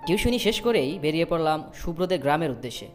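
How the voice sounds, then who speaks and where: Young city student narrator female actor, scripted cartoon story. Polite, animated intonation, prominent music bed. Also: deep voice, rapid-fire. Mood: reflective.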